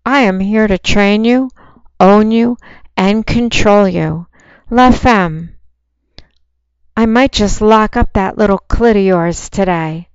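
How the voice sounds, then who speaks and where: A woman with a soft voice giving a slow, unhurried, commanding promotional monologue as a phone sex operator. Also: medium pitch, clean tone, no room sound, highs cut off.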